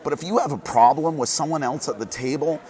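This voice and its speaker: A hoarse man hosting a casual board game news roundup, earnest and quick, getting fired up at times. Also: a little high, darkish tone. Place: busy convention hall.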